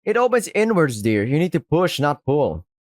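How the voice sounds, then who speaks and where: Brief: male reader as a sweet elderly lady shopkeeper, raised high pitch, soft and politely firm, dry close recording. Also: big pitch swings, fast talker, dry recording. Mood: sweet, calm. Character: sweet, soft-spoken, unflappable old shopkeeper granny with large ears.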